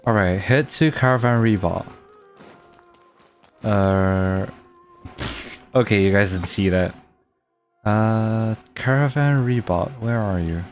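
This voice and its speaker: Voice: mid-range voice, slow pace, long pauses. A streamer giving casual gameplay commentary in a chatty, informal way. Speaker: streamer playing a game. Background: game music.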